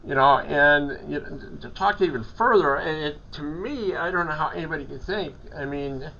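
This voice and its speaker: A hoarse man with a gravelly, bright-edged voice talks in a rambling, halting way, often restarting his sentences. The audio is muffled and lo-fi, with a faint hiss behind him. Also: on the high side. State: hoarse from a cold. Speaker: hospital chaplain. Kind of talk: casual phone-style chat.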